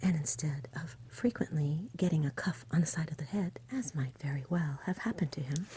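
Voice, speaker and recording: A woman giving a soft-spoken, breathy, whispered ASMR reading of a bedtime story in a gentle storybook manner. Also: low voice, normal speed, slight background noise.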